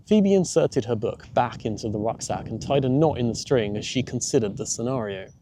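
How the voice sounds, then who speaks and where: A man's voice: mid-range voice, lively intonation, a little fast, noisy background. A man reading a children's audiobook as its narrator, in scripted storytelling style.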